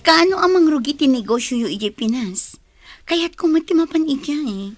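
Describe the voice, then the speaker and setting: A woman playing a willful grown daughter in an Ilocano Filipino radio drama, her voice slightly husky. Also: high-pitched, lively intonation, moderate pace, dry recording, soft background music. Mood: eager.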